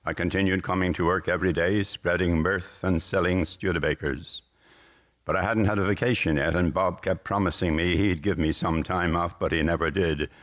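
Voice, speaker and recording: An actor playing a gloomy car salesman in a radio drama, speaking in a formal, deadpan, sardonic and measured way. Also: deep voice, lo-fi sound. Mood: dry, measured. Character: tall, gloomy, curt male car salesman, dryly superior.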